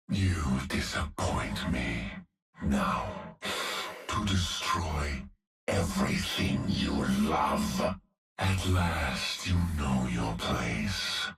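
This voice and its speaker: A man voicing a brutal, merciless cyborg brute through a mask filter, deep and gravelly. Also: animated, drawn-out words, fairly bright tone, reverberant, distant mic, faint music behind, full character voice. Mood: menacing.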